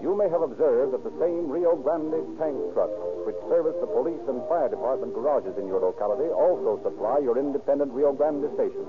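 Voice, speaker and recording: A man's voice: medium pitch, lively intonation, brisk, hardly any pauses. A man announcing in formal, emphatic, polished 1930s radio style, on an old narrow-band recording. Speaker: announcer and narrator. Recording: thin 1930s radio recording, music heard.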